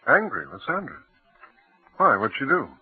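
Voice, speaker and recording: A man playing a gentle, indulgent husband and doting father in an old-time radio drama. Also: mid-range voice, huge pitch swings, moderate pace, breathy edge, no echo, exaggerated voice. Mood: affectionate, puzzled. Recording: tinny old radio recording.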